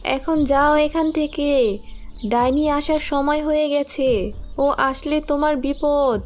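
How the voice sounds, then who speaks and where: Woman as a gentle, high-voiced captive fairy. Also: fairly even intonation. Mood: urgent guiding. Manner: slow, drawn-out, earnest warnings. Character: gentle imprisoned blue fairy, earnest helper. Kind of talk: animated fairy-tale cartoon acting.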